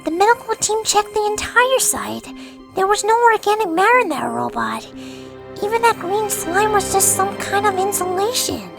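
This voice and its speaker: A woman's voice: very high voice, very animated, normal speed, creaky, over music, heavily acted. A woman voicing a brainy, earnest young boy fox who explains things in a cartoon audio drama.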